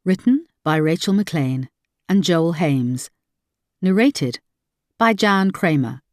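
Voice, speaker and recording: A woman's voice: fairly low pitch, animated, takes it slowly, no room sound, clean studio sound. A woman reading a crime novel aloud as scripted audiobook narration.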